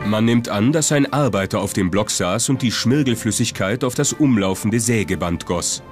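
Low-voiced documentary narrator, man. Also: expressive, moderate pace. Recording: music behind the voice. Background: music.

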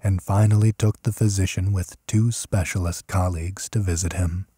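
A man reading a horror story as an audiobook narrator, measured and solemn in his delivery. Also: low voice, fairly even intonation, mellow, slightly rough, no room sound, clean studio sound.

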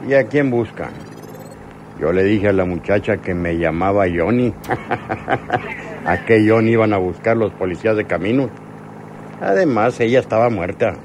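A man's voice: lowish voice, animated, a little slow, long pauses, a little mellow, a little hiss or hum. A man playing an arrogant, callous outlaw leader in a radio serial, domineering and scornful. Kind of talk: radionovela acting.

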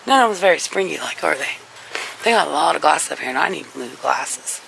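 A woman's voice: low-pitched, expressive, fairly quick, gravelly. A woman vlogger chatting casually to her viewers as she browses the aisles of a large store, filmed on a handheld camera. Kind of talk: casual shop-along commentary. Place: inside a large discount store. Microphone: handheld camera carried while walking. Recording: store background noise. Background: store ambience.

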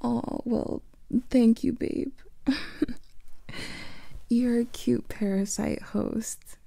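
A woman playing a playful, affectionate vampire lover in an ASMR roleplay, speaking softly and often in whispers into a close microphone. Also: a little high, vocal fry, no echo. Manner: soft teasing, often whispered.

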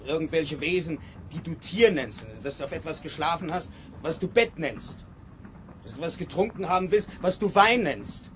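A scornful, bitter grandson. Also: male voice, on the high side, expressive, normal speed, fairly bright tone. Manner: scornful, impatient. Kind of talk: 1950s radio drama acting. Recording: hissy old 1950s radio tape.

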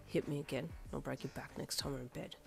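A woman acts out a cocky young boyfriend from an audiobook romance. He speaks low and soft, with dry, unhurried teasing and a smirk in his words. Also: steady tone, vocal fry, soft background music.

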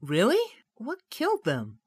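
A man voicing a naive, excitable, dim martial artist hero in an anime parody, given to whooping. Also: high-pitched, measured pace, breathy edge, dry recording, clean studio sound, full character voice. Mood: curious. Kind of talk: anime parody dub acting.

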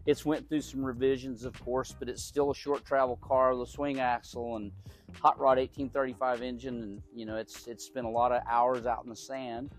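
A middle-aged man from Oklahoma giving a casual, folksy tour of the cars in his large garage, with an unhurried manner and frequent small restarts. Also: mid-range voice, level tone. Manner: folksy, unhurried, repeats words while thinking. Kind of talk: casual show-and-tell.

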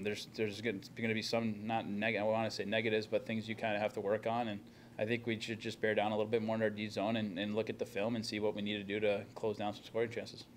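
A man's voice: fairly low pitch, slight background noise. A young man, a hockey player, answering reporters' questions at a postgame press conference into a table microphone, talking plainly with many fillers and restarts. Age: young adult. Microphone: table microphone in front of him.

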